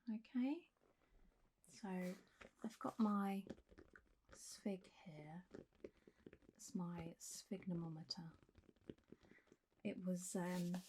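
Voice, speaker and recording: A woman playing a calm, reassuring doctor in a soft-spoken ASMR roleplay, gently drifting in and out of whispers. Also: medium pitch, slight background noise, natural voice, rough recording. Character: calm, reassuring female clinic doctor for zombie patients. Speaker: ASMR performer.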